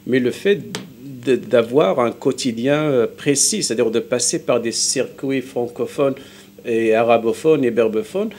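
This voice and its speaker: Halting man with a Moroccan accent. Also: medium pitch, a little mellow. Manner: halting, repeats and rephrases himself. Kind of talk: live studio interview, explanatory. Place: television studio.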